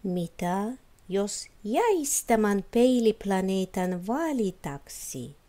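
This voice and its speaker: A woman's voice: medium pitch, very animated, warm tone, lightly acted. A woman voicing a mysterious mirror creature in a storybook, putting solemn, probing questions.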